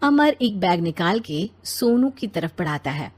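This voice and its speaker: A woman narrating an animated moral story in a scripted storytelling style. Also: lowish voice, unhurried.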